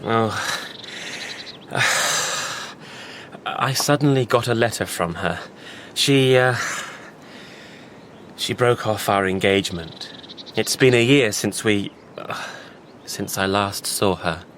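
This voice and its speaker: An actor in a Victorian radio drama playing an earnest young man searching for his lost fiancée, halting and hesitant, often breaking into nervous laughter. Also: fairly low pitch, a bit bright, a little hiss or hum. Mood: pained.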